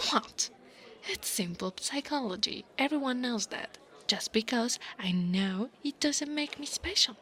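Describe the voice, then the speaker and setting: A woman's voice: a little high, lively intonation, slow, a bit bright. A woman playing an insecure, mocking young female dragon bully in an ASMR roleplay, with a taunting, drawling delivery. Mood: smug, scornful. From Italy.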